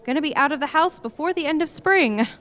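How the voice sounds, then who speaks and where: A woman's voice: sits high, expressive, quick pace, fairly bright tone. An actress playing a gossipy, scatterbrained young woman glued to social media in a live radio play. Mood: breezy, nosy.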